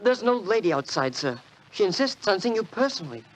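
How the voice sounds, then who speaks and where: A man's voice: high voice, big pitch swings, quick pace, rough recording. An actor playing a dutiful, apologetic British male secretary, formal and deferential, in 1940s radio drama style.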